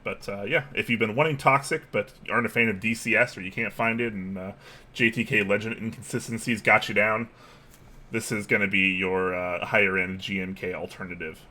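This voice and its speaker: A man co-hosting a casual keyboard podcast, relaxed and upbeat, with plenty of fillers. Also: on the low side, brisk. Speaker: podcast co-host. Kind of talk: casual chat about keyboards.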